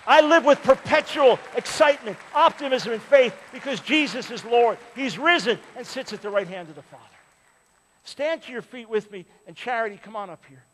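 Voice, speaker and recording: An older male preacher in his sixties, his voice pitched high for a man. He swings from loud, ringing appeals to hushed pleading. The sound rings on in a large church hall. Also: animated, normal speed, a little hiss or hum. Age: 60s. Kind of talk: sermon.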